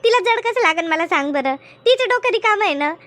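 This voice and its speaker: A woman voicing a gossipy, teasing village woman in a comedy cartoon, with an expressive, complaining way of talking. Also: very high-pitched, a little fast, no echo. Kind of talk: colloquial comedy cartoon dialogue.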